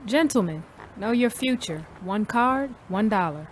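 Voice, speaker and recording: An actress playing a streetwise young tarot-card hustler in an audio drama, unimpressed and curt, with a hawker's patter. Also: female voice, mid-range voice, lively intonation, measured pace, a little hiss or hum, lightly acted, low-quality recording. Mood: hawking.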